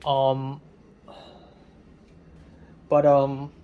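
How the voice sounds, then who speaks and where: A tired young man with a raspy voice, rambling through a casual vlog from a hotel room, halting with many ums. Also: mid-range voice, monotone, phone-quality sound, slight background noise. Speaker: vlogger.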